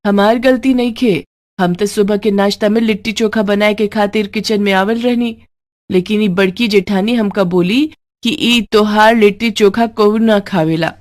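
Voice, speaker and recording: A woman's voice: mid-range voice, steady tone, moderate pace, a little mellow, lightly acted. A woman voicing a plaintive, argumentative young daughter-in-law with a Bhojpuri accent in a moral story.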